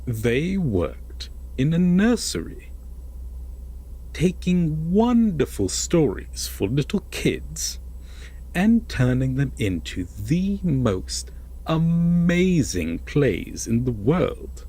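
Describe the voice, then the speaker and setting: A man playing an obsessive, possessive lover in an intimate roleplay audio drama, speaking deliberately and coaxingly with dramatic pauses and a husky voice. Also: fairly high pitch, sing-song, mellow, heavily acted. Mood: ominous storytelling. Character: possessive, obsessive lover telling a veiled fairytale.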